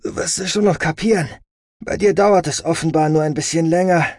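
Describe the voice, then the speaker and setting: A man's voice: on the high side, fairly even intonation, fairly quick, darkish tone, no echo, studio quality, voice pushed up. A man voicing a brash, impatient man who is sardonic, stern and in control. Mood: smug. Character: brash, commanding young man, impatient and smugly reassuring.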